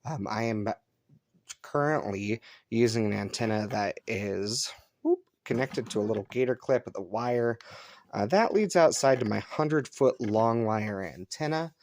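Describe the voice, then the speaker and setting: A man's voice: mid-range voice, expressive, measured pace, darkish tone, dry recording. An American man from Colorado giving casual, off-the-cuff commentary as a hobbyist, musing to himself in an easygoing way. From Colorado, United States. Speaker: hobbyist shortwave radio vlogger. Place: upstairs bonus room at home. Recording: home recording with clear background noise. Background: shortwave radio static and stations.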